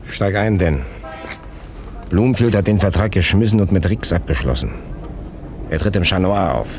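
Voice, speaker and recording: An actor playing a hard, persuasive underworld go-between in a radio crime drama, cool, dry and pressing. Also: male voice, sits low, moderate pace, slight background noise. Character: hard, persuasive male contract-killing go-between. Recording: loud car and street ambience.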